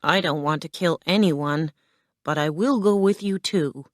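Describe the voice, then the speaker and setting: A woman voicing a kindly, loyal tin man in a storybook, gentle and earnest. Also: low-pitched, a little slow, no room sound, clean studio sound. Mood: reluctant.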